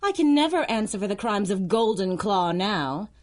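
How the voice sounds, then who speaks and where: A woman's voice: medium pitch, sing-song, moderate pace, natural voice. A woman playing a ruthless, imperious supervillain in a pulp radio drama, menacing, theatrical and scornful. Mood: cold scheming. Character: ruthless, imperious female supervillain crime lord plotting revenge.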